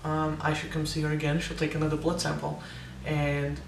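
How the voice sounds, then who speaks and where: A man's voice: fairly high pitch, completely flat delivery, fairly quick, vocal fry, roomy sound, distant mic, slight background noise. A young man giving a casual, hesitant personal update, full of ums and restarts, his voice sometimes cracking with air breaking through. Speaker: vlogger.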